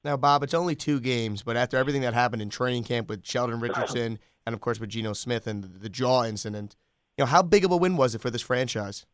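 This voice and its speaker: A man's voice: medium pitch, quick pace, clear, resonant tone, no room sound, clean studio sound. A man hosting a sports podcast and putting questions to a guest in conversational style. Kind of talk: interview questioning.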